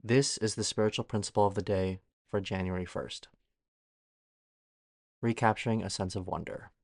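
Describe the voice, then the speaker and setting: Male reader, slightly low voice, for an inspirational read-aloud piece; dry recording. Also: steady tone, moderate pace, a little mellow, dry recording. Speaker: guest reader of a daily recovery meditation.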